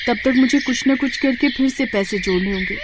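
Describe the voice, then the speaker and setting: A woman voicing a devoted, worried young daughter in an animated moral story, earnest and pleading. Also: a little high, brisk, very noisy, close to an everyday voice. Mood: anxious. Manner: pleading, emotional.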